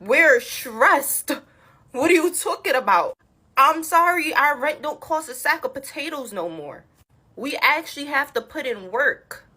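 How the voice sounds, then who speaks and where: A woman's voice: sits high, animated, a little slow, pushed and bright. A young woman on a casual rant, defensive and exasperated as she argues back.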